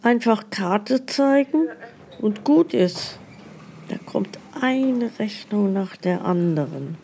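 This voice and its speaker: A woman's voice: medium pitch, vocal fry, dark tone, a little hiss or hum. A woman playing an older pensioner who plaintively recounts her troubles in a mock documentary.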